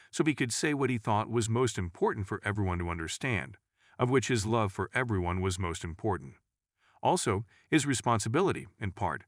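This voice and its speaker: A man with a low voice narrates a scripted paranormal story in the first person. His intonation moves widely up and down, and the sound is dry and close, with no room on it. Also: a bit bright, no echo.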